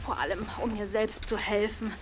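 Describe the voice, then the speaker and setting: A woman's voice: medium pitch, steady tone, normal speed, noisy background, lightly acted. A woman playing a chatty, eager servant who gathers herbs, deferential and given to muttering, in a radio drama. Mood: modest, chatty.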